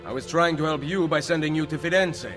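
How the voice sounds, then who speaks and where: An actor playing a commanding assassin leader in a video game, terse and firm, with an Italian accent. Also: male voice, on the high side, fairly quick, over music, lightly acted. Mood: exasperated, stern. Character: commanding young-to-middle-aged male Italian assassin leader. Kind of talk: video game cutscene acting.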